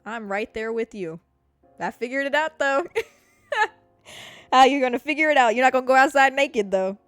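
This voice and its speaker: A woman's voice: high-pitched, lively intonation, moderate pace, fairly bright tone, no room sound, soft background music. A woman in her mid-twenties hosting a podcast, giving listeners a blunt, profanity-laced motivational pep talk and calling them babe and girl. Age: 20s. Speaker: podcast host. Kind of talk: casual motivational monologue.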